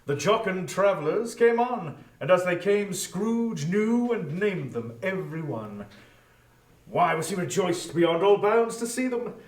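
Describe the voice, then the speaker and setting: A man narrating a classic story as a dramatic, expressive scripted reading. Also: high-pitched, a little slow. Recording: echoey room, distant from microphone.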